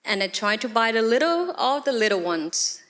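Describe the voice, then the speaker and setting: Thai-accented woman on a panel, bright sharp voice, chatting casually. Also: on the high side, very animated, moderate pace. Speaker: panel guest, general manager.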